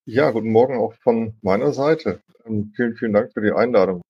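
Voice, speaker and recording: A fairly low-voiced man talks as a guest in an informal interview. His voice is husky, and the sound is slightly muffled and very dry. He rambles along, hedging and gently making light of himself. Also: a little fast, no room sound. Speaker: interview guest, digital humanities academic. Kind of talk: informal, conversational interview answers. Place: remote online call.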